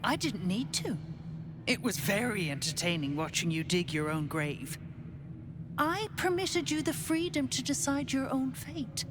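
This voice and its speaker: A woman's voice: on the high side, very animated, measured pace, background noise. An actress playing a cool, scornful female schemer in a video game, smug and condescending. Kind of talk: dramatic video game acting.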